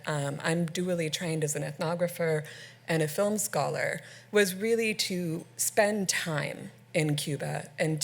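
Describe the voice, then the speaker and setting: A woman from Canada speaking as a scholar on a panel, measured and halting with many fillers. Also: lowish voice. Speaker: scholar on a book festival panel. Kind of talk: panel discussion.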